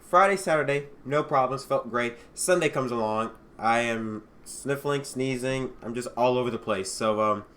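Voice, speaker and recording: A man's voice: medium pitch, normal speed, hardly any pauses, fairly bright tone. A man hosting a sim racing livestream, sounding a bit congested from allergies, chatting casually and lifting his voice for intros. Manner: upbeat announcer, lifts his voice for intros. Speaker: sim racing league host. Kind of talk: casual race pre-show and livestream talk.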